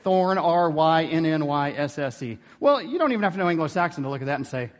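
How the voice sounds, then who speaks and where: A man with a somewhat high voice giving a relaxed theology lecture. He talks conversationally and keeps tossing rhetorical questions at his listeners. His voice rings out with a long hall reverb. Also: quick pace. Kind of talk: informal classroom lecture.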